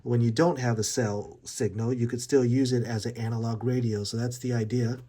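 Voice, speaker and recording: A man's voice: mid-range voice, steady tone, normal speed, mellow, slightly rough. A man giving a casual, hands-on gear demonstration, informal and a little hesitant as he thinks aloud. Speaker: gear reviewer. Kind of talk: casual unboxing and setup demonstration.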